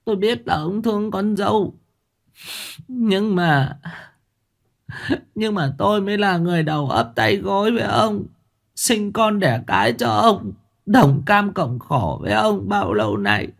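A man voicing a sharp-tongued, sulky older village wife who whines, scolds and wails. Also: extremely high pitch, big pitch swings, a little slow, vocal fry, pitched above the performer's own voice, full character voice. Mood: sulking. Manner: whining, scolding, wailing.

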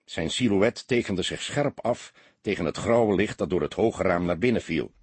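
A man's voice: low-pitched, moderate pace, husky, dry recording. A man reading a crime story aloud as an audiobook narrator, in a dramatic scripted style.

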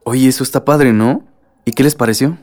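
Friendly young Mexican man played by an actor, mid-pitched. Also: fairly even intonation, a little fast, a little mellow, close to an everyday voice. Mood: friendly, teasing. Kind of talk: radio drama acting.

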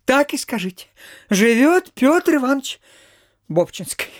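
A man playing an obsequious, fussy little provincial landowner in an audio drama, halting and ingratiating. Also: very high-pitched, very animated, dry recording, studio quality, heavily acted. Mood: humble pleading. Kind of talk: theatrical audio drama acting.

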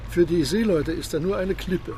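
A cool submarine commander in a radio play. His voice sits a little high for a man. He speaks with measured, faintly mocking authority, with loud water and engine ambience behind him. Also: noisy background. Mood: self-assured. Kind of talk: radio drama acting.

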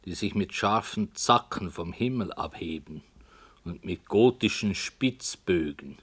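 A radio-play author narrating a dreamlike walk. His voice is low and his pace very slow. Every phrase comes out measured and deliberate. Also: a bit bright.

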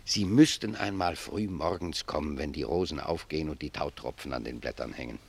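A man's voice: extremely low pitch, creaky, breathy edge, exaggerated voice. A man playing a humble, pious old gardener in a German radio drama, speaking reflectively and with deference to his superior. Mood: gentle, humble. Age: older. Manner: reflective, deferential, unhurried phrasing.

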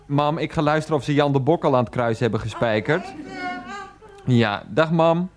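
A man playing a grown son in a radio play, talking on the phone to his mother in a halting, protesting, placating way. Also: a little high, a crowd in the background, natural voice. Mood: apologetic, placating. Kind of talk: 1990s Dutch radio play, one-sided phone call.

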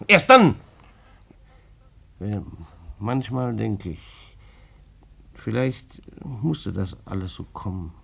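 An actor playing an earnest, stubbornly upright married clerk in a German radio play, hesitant and repeating himself. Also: male voice, fairly low pitch, very animated, slow, gravelly, long pauses, sharp tone, slightly rough, heavily acted. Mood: quietly resolute.

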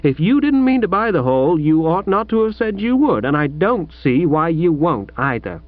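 A man's voice: a little high, sing-song, normal speed, no room sound. A man voicing a headstrong, insistent young woman, the daughter of a rich man, in a dull lo-fi radio recording.

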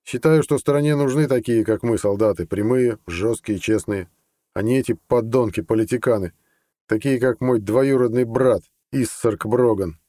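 A man's voice: medium pitch, normal speed, dark tone, voice pushed up, lightly acted. A man voicing a blunt, ambitious army colonel, plain-spoken and earnest, in an audiobook. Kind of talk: audiobook character dialogue.

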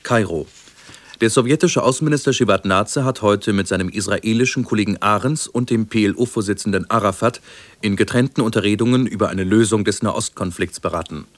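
A man with a standard German accent from Germany reads a formal radio news bulletin in a measured, matter-of-fact way. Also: fairly low pitch, no room sound. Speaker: radio newsreader.

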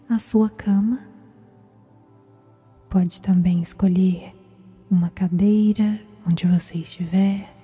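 A woman with a Brazilian accent softly whispers a guided sleep meditation in a slow, soothing, gentle manner. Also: medium pitch, dull audio. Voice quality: breathy, whispery. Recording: music behind the voice. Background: meditation music.

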